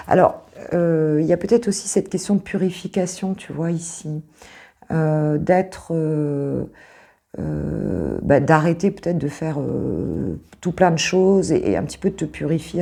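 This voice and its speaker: A French woman who is a coach, reading cards to her viewers in a casual, hesitant way with many fillers and a slightly hoarse voice. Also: low-pitched. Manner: hesitant, many fillers, soft reassuring asides.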